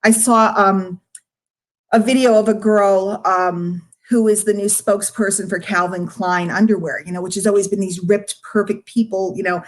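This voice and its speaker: Middle-aged woman, casual live-stream coaching chat, medium pitch, candid, rambling, emphatic, direct address. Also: fairly even intonation, moderate pace. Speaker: marketing coach.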